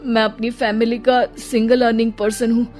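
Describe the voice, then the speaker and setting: A woman's voice: on the high side, flat delivery, normal speed, darkish tone, lightly acted. An anxious young male office worker from a cartoon , voiced by a woman. He is hesitant, apologetic and deferential, with faint music underneath. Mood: anxious, pleading.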